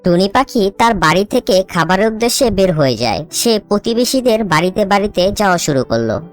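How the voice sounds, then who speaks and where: A woman reading scripted narration for a children's animated story. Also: medium pitch, a little fast, soft background music.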